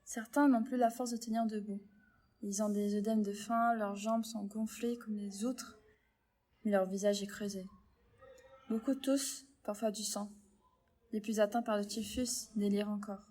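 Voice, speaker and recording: A woman playing a grave nurse in a French audio drama, speaking in a measured, sombre way. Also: mid-range voice, flat delivery, warm tone, natural voice. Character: grave nurse reporting on weakened camp survivors.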